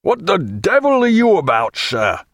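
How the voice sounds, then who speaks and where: A man voicing an angry, blustering, possessive gentleman in an audiobook, with accusatory, formal period diction. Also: high-pitched, huge pitch swings, a little slow, creaky, no room sound, clean studio sound, pitched above the performer's own voice, full character voice. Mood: outraged. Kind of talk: dramatised audiobook character voice.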